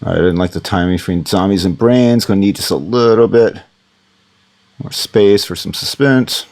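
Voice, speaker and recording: Lowish voiced guy rambling through an audio lesson, laid back with lots of ums, home studio. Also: moderate pace, slight background noise. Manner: rambling, relaxed, lots of fillers. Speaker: audio production instructor. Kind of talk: casual recorded tutorial.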